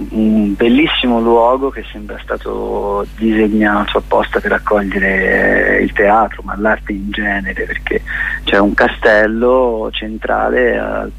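A man speaks in a husky voice full of creak. He is a festival director being interviewed on radio. He picks his way through answers thoughtfully, often halting to find the word. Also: on the low side, a bit bright, highs cut off. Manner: halting, thoughtful, searching for words.

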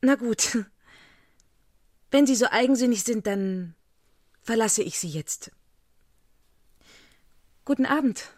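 A woman's voice: a little high, slow pace, long pauses, darkish tone, dry recording, studio quality. An actress playing a pretty, playful, forward young lady in a radio drama, with a girlish, teasing manner. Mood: pouting.

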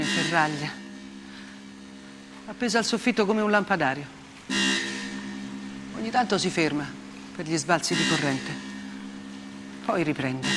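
An actress playing a grave, unflinching woman war reporter in a theatrical monologue, speaking in short, deliberate phrases with dramatic pauses. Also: on the low side, noisy background, close to an everyday voice. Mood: somber, stark. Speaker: stage actress. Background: plucked guitar-like music.

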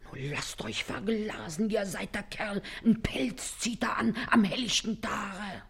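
Radio comedy matriarch: middle-aged, Berlin dialect, sharp-tongued and canny, swooping pitch, slightly rough voice. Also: female voice, animated, exaggerated voice. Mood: scolding, shrewd. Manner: brisk, scolding, plain-spoken. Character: shrewd, bossy, sharp-tongued working-class mother.

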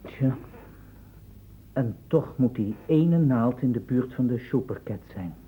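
An actor playing an earnest, concerned male investigator in a radio drama, with a husky voice and careful, formal speech. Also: medium pitch, animated, slow pace, a little hiss or hum. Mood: earnest, thoughtful. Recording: muffled phone-like old radio recording.

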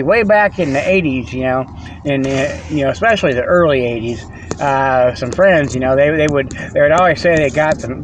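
Rambling folksy man with a slightly high voice. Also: noisy background. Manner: folksy, rambling, peppers talk with "okay" and "you know". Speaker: host. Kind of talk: rambling comic monologue. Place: inside his truck.

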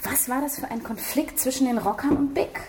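A woman's voice: sits high, a little fast, background noise, natural voice. A woman playing a sober, matter-of-fact police detective with a questioning manner in a German radio crime drama. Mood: thoughtful, probing.

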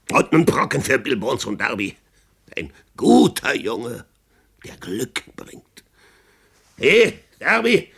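An actor in a German radio play playing a domineering, fevered old pirate captain with blustering, drawn-out calls and oaths. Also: male voice, mid-range voice, gravelly, pushed and bright, slightly rough, exaggerated voice. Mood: feverish raving.

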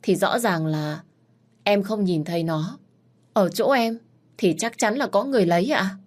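Woman voicing a sharp-tongued, scheming young lady. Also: mid-range voice, moderate pace, pitched above the performer's own voice, lightly acted. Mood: insistent. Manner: insistent, sharp protests.

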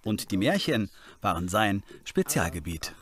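A man's voice: on the low side, very animated, a little slow, a bit bright. A man reading a scripted documentary voice-over as a reporter. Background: music.

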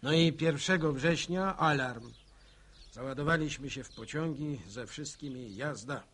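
An actor playing an earnest villager in a radio drama, recounting army days in a reflective, plain-spoken way. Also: male voice, a little high, unhurried, fairly bright tone, breathy edge. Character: earnest Polish villager, war veteran recalling his army days.

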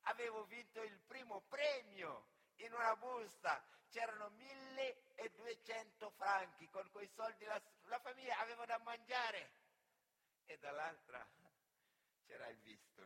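Animated storyteller, bright forceful male voice. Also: high voice, low-quality recording. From Senegal. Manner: animated, acts out dialogue, addresses the audience. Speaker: actor and griot storyteller. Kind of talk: theatrical autobiographical monologue.

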